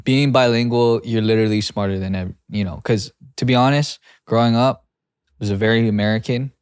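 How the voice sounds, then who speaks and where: A young adult man producing and co-hosting a podcast, talking in an animated, rambling way and laughing easily. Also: medium pitch, brisk, clean tone, dry recording, clean studio sound. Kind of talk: casual conversation.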